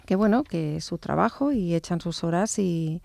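A woman in her fifties, a nurse, talking thoughtfully in an interview, with hesitant restarts and phrases that trail off softly. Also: fairly low pitch, expressive, normal speed, vocal fry, no room sound. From born in England, raised in Barcelona. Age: 50s. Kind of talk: conversational interview.

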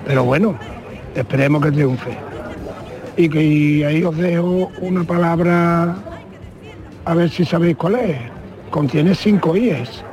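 A man from Seville calling a radio show, polite and measured as he leaves a riddle. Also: a little high, a crowd in the background. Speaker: caller. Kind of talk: recorded listener message.

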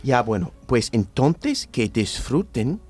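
A man's voice: medium pitch, sing-song, darkish tone, breathy edge, faint music behind, full character voice. An actor playing a bitter, greedy old businessman, a mocking and menacing villain in a radio play. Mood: cold, menacing. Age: older. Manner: mocking, menacing, slow emphatic delivery.

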